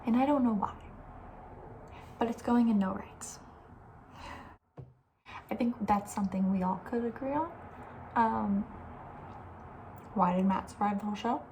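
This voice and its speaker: A woman speaking softly with breathy, near-whispered edges, chatting casually and rambling with playful opinions as an ASMR host. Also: mid-range voice, unhurried, pauses often, slight background noise, low-quality recording. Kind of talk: soft-spoken ASMR casual chat.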